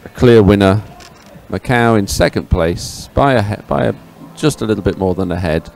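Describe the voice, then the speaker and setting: Male race commentator, lower register, chatty and halting, live broadcast feel, faint music behind. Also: gravelly, darkish tone. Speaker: sports commentator. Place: live broadcast at an outdoor regatta venue.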